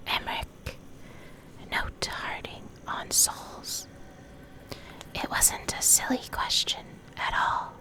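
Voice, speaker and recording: A woman doing an ASMR reading in a soft, breathy whisper, deliberate and pausing between short phrases. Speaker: ASMR creator reading game lore.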